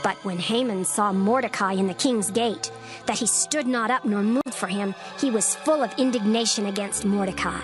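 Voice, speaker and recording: A woman narrating a dramatized scripture recording in a measured, solemn storytelling manner. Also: medium pitch. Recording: narration over clear background music. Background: background music.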